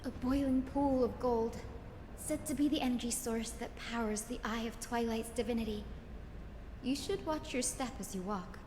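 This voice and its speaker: A woman playing a gentle, careful young companion in a video game, speaking in a hesitant, explanatory way. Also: a little high, moderate pace, background noise, natural voice. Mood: earnest, informative. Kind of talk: scripted video game dialogue. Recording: game music behind the voice.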